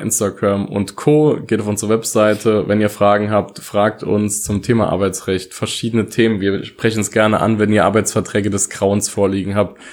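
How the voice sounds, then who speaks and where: Low-voiced man, casual podcaster. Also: a little mellow. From Saarland, Germany. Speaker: podcast co-host. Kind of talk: casual political podcast talk.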